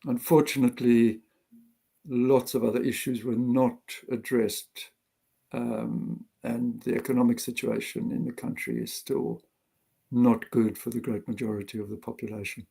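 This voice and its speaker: An older man with a South African accent, telling his life story as an interview guest in a measured, reflective way with frequent pauses. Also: mid-range voice, flat delivery, gravelly, dark tone, husky. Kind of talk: interview, personal history.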